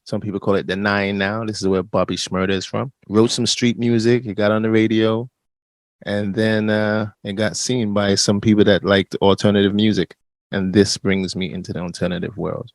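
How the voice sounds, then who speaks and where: A man from England with a London accent, chatting as a guest musician and telling his story in a relaxed way. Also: fairly low pitch, moderate pace, no room sound. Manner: relaxed storyteller, laughs between thoughts. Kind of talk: casual interview chat.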